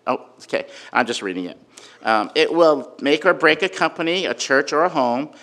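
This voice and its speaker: A man giving an informal sermon with a personal story, talking conversationally and loudly, with many fillers and frequent "right?" check-ins. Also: on the high side, expressive, normal speed. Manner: conversational, filler-heavy, asks "right?" often; says he has a really loud voice. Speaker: preacher.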